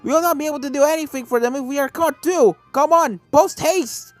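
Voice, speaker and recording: A woman's voice: sits high, brisk, pitched above the performer's own voice, close to an everyday voice. A woman voicing a brave, kindly old male unicorn ghost hunter in a story reading, hearty and reassuring, with music behind. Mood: urgent. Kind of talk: fan fiction story reading.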